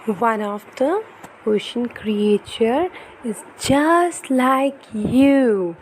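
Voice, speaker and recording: Slow, high-voiced woman reading to children. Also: a little hiss or hum, rough recording. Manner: teacherly, addresses children, asks questions, exclaims. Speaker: host reading to young children. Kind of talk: children's picture book read-aloud.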